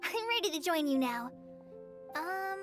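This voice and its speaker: A timid young girl from an anime game. The voice is extremely high and small, hesitant and apologetic, with music clearly behind it. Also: sing-song, unhurried, a bit bright, heavily acted. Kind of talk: anime video game voice acting.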